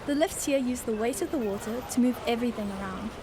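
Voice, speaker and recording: Fisherwoman, female actor, radio drama: high voice, easygoing and welcoming, noisy watery ambience. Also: fast talker, natural voice. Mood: explaining, easygoing. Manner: breezy, reassuring, friendly. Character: friendly, easygoing fisherwoman and generous local guide.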